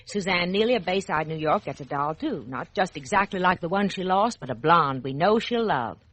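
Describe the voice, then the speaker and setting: A woman announcing a 1940s children's radio show in a scripted, clearly phrased address to young listeners. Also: on the low side, animated, fairly quick, a bit bright.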